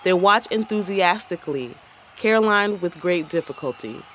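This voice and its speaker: A woman's voice: lowish voice, expressive, normal speed, a bit bright, slight background noise. A woman as a plain, neutral narrator reading scripted stage directions for a radio play.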